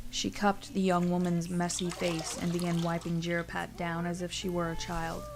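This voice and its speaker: A woman narrating a scripted audio story in a deliberate, literary storytelling manner. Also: fairly low pitch, completely flat delivery, creaky, over music.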